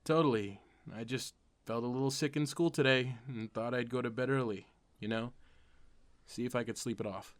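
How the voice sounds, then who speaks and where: A man's voice: mid-range voice, steady tone, moderate pace, lightly acted. A man playing an earnest, wry teenage comic fan turned amateur superhero, narrating a comic dub in a confessional way. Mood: sheepish, subdued. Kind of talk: comic dub, first-person narration and dialogue.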